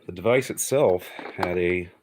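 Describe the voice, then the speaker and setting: A man with an extremely low-pitched voice presents a piece of hobby electronics in a casual, unscripted way. He explains technical details plainly and matter-of-factly, as in an informal demonstration. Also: a little slow, darkish tone, slight background noise.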